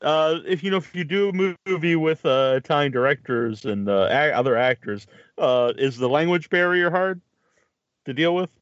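A man hosting an interview, asking halting questions full of fillers. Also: a little high, animated, dry recording. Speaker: podcast host. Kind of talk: casual interview chat. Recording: dull lo-fi dry sound.

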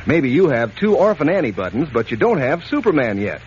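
An American man delivering a folksy, enthusiastic 1940s radio advertising pitch aimed at children. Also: big pitch swings, a little fast. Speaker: announcer, pitchman. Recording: muffled, noisy old radio recording.

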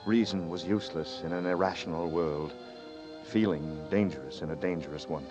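A man's voice: low voice, normal speed, close to an everyday voice. A man playing a grim, hunted narrator on old radio. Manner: terse, grim, hushed first-person narration. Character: tense, hunted male lead narrating his ordeal. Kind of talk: 1940s radio drama acting and narration. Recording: dull 1940s radio broadcast with music.

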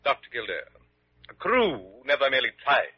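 An actor playing a pompous, prissy middle-aged doctor with stuffy, formal diction in a classic radio drama. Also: male voice, medium pitch, huge pitch swings, a little fast, slight background noise. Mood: haughty, flattered. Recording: dull old radio recording.

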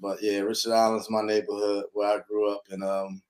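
Relaxed man telling his story. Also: on the low side, brisk. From North Philadelphia, USA. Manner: relaxed, rambling, lots of fillers. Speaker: guest, real estate investor and lender. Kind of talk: casual interview storytelling.

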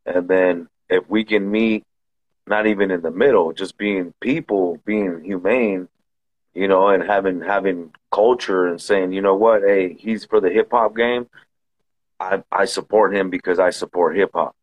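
A man's voice: deep voice, steady tone, a little slow, muffled recording. A man from Southern California hosting a podcast in easygoing casual chat, often repeating himself and saying "you know". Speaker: podcast host.

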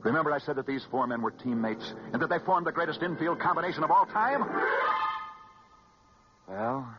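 An American man telling stories as a 1940s radio host, scripted and dramatic, with emphatic repetition and weighty pauses. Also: fairly high pitch, animated, heavy background noise. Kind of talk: 1940s radio sports storytelling, scripted narration. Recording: muffled vintage 1940s radio recording. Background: music.

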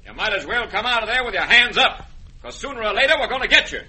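Scheming rancher villain, American Western drawl, piercing forceful tone, animated pitch, threatening, vintage radio western with faint noise. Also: male voice, fast talker, slightly rough, exaggerated voice. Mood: calculating. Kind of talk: old-time radio western acting.

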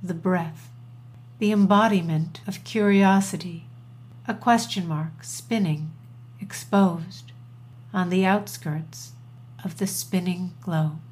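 A woman poet introducing and reciting her own work with deliberate, measured phrasing and pauses. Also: lowish voice, steady tone, a little mellow. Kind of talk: poetry reading with scripted introductions.

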